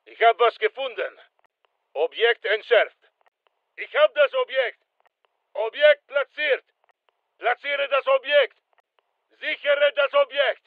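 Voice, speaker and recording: A man's voice: very high voice, takes it slowly, pauses often, no echo, narrow, tinny audio, exaggerated voice. An actor playing a terse, disciplined soldier who reports to his squad in short clipped phrases in a video game. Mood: businesslike. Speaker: military game character. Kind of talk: video game combat callouts.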